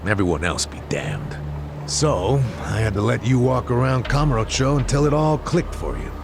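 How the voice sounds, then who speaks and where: An actor voicing a jaded, streetwise middle-aged former detective in a video game, casual and sardonic, with a goading way of talking. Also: male voice, medium pitch, big pitch swings, normal speed, vocal fry. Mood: smug. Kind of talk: video game cutscene voice acting. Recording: dramatic music behind the voice. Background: loud background music.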